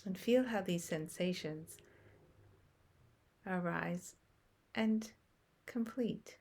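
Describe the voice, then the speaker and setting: Slow, gravelly-voiced woman guiding meditation. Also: on the low side. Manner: soft, unhurried, long pauses between phrases. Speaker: meditation guide. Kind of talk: guided meditation.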